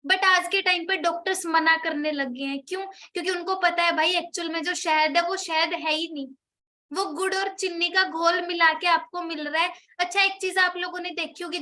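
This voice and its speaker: Young woman, high and bright; persuasive, chatty seller who keeps asking the listener questions. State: slightly sore throat from a cold. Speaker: product seller explaining products. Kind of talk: persuasive product talk.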